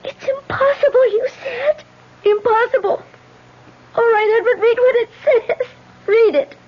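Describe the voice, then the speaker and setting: A high-pitched, tearful wife on edge, pleading and insisting by turns. This old radio play sounds dull and lo-fi. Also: female voice, measured pace. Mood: distraught. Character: frightened young wife haunted by threatening notes. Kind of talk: 1970s radio drama acting.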